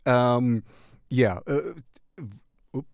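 Slow-talking man hosting a music show. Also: medium pitch, darkish tone, smooth, no room sound, clean studio sound. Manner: playful, distracted, halting delivery. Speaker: host of a music recommendation show. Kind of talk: casual rambling music recommendation.

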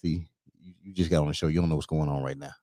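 A man's voice: a bass voice, fairly even intonation, racing, mellow, no room sound, studio quality. A man hosting a casual show, joking loosely and often adding "you know what I'm saying.". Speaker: show host. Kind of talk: casual banter with sung jingle.

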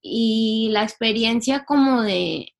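Young woman with a gravelly voice. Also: fairly high pitch, slow. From Canada. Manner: reflective and chatty, slips in English words. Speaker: singer-songwriter guest. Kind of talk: casual interview talk.

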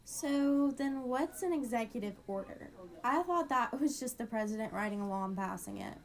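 Higher-pitched woman, inquisitive podcast co-host. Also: animated, moderate pace. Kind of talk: scripted educational dialogue.

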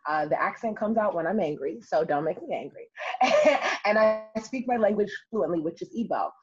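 A young adult woman telling her story as an interview guest, lively and emphatic. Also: mid-range voice, normal speed, husky. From United States. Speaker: guest, city councilwoman. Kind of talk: conversational interview. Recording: muffled lo-fi audio.

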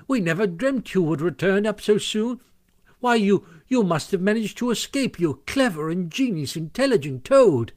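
A warm-hearted storybook mole, played by a man, with a high voice. He is earnest and exclamatory in the way he talks. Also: lively intonation, moderate pace, no echo, clean studio sound. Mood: delighted. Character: eager, warm-hearted, easily impressed male mole. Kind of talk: storybook character voice.

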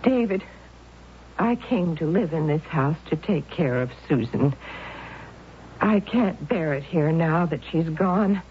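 An actress playing a devoted, worried middle-aged aunt with earnest, emotional pleading in a 1970s radio drama. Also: female voice, low voice, animated, slow pace. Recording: dull old radio broadcast with faint hiss.

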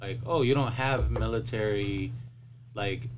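A man co-hosting a podcast with a very deep voice. He talks casually, stumbling and repeating himself and swearing offhandedly, over a noisy background. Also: unhurried. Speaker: podcast co-host.

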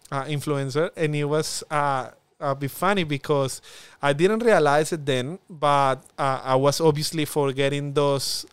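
A young man with a strong Spanish accent talks earnestly in a relaxed interview, stumbling over fillers and restarts as he thinks aloud. His voice sits a touch high and comes across smooth and resonant. The sound is dry and close. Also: dry recording. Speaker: guest. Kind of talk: reflective conversational interview.

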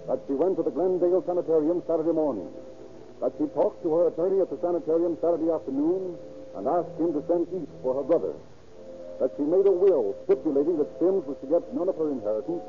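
A man narrating a scripted 1930s radio crime drama in a formal, measured, dramatic manner. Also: a little high, natural voice. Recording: tinny old radio recording.

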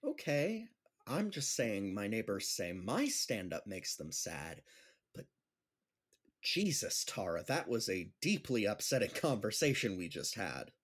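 A man's voice: fairly low pitch, sing-song, a little slow, fairly bright tone, slightly rough, exaggerated voice. A man playing a kindly, insecure gym teacher and amateur comedian, speaking hesitantly and earnestly in an audio drama. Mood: uneasy, exasperated. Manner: hesitant, earnest, self-deprecating. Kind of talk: scripted audio drama acting.

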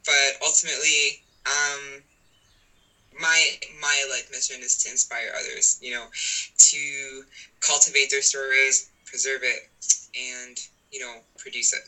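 A woman's voice: a bass voice, steady tone, unhurried, pushed and bright, poor audio. A woman from Canada, guest on an interview podcast, telling her story in a rambling, filler-heavy way. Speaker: podcast guest, writing coach. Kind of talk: casual interview chat.

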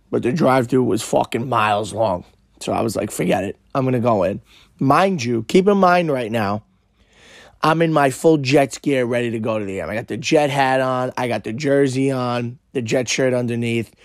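A young New man talks straight into the mic with a gravelly, hoarse voice that sounds worn out. He tells his story brashly and swears freely, keeping the telling animated. The sound is close and dry. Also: medium pitch, no room sound. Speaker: podcast host. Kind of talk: casual profane storytelling monologue.

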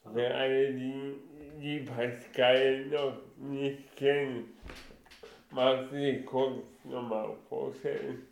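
A man hosting a podcast as a casual interviewer, with halting, deliberate phrasing, recorded in a kitchen. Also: mid-range voice, level tone, gravelly, a little hiss or hum. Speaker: podcast host. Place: kitchen used as a studio. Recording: roomy echo, faint music behind.

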